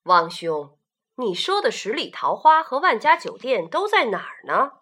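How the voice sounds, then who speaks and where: A carefree male poet of old , voiced by a woman, speaking in a children's audio drama. He is hearty and declamatory and recites in slow, stately lines. The voice is high and bright, and it swings freely in pitch. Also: very animated. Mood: puzzled.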